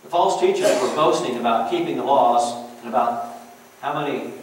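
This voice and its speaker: A man's voice: mid-range voice, normal speed, slight background noise. Middle-aged male pastor, homespun conversational sermon, echoey distant church room. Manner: folksy, conversational preaching with chuckles and "you know". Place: church sanctuary.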